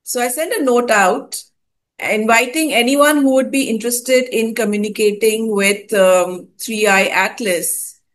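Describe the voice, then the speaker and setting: A woman's voice: fairly high pitch, unhurried. A woman from India hosting a conversation, recounting a meditative experience with frequent hesitations and fillers. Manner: hesitant, many fillers and restarts. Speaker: host. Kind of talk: casual spoken account of a spiritual experience.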